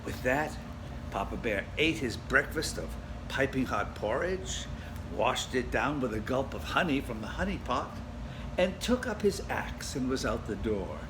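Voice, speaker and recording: A man's voice: mid-range voice, sing-song, unhurried, noisy background. A man reading a children's story aloud dramatically, with asides addressed to the listeners. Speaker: storybook reader.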